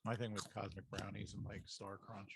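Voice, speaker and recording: Gravelly, husky American man, blunt banter. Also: on the low side, little pitch movement, a little fast, no echo, studio quality. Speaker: podcast co-host. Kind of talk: casual banter.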